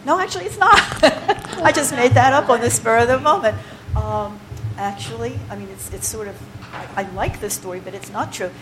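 A woman's voice: a little high, moderate pace, hardly any pauses. Chatty woman leading a poetry workshop, noisy recording. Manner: chatty, digressive, with many fillers and restarts. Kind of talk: informal workshop talk to a group.

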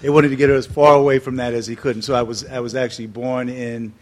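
A man in his sixties from New York City, telling stories as an interview guest before an audience, animated and laughing often, with slower reflective passages. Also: medium pitch. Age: 60s.

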